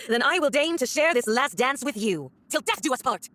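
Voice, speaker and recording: A woman's voice: high voice, big pitch swings, moderate pace, fairly bright tone, a little hiss or hum. A woman voicing a haughty, mocking villainous diplomat in a video game, condescending and theatrical. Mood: menacing.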